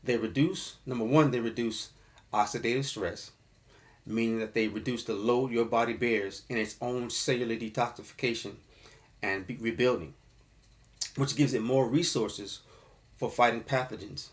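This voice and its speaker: A man's voice: mid-range voice, moderate pace. A man giving an informal explainer talk about an herbal formula, often checking in with the listener by asking "okay?" and calling the audience fam. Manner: casual, addresses listeners as fam.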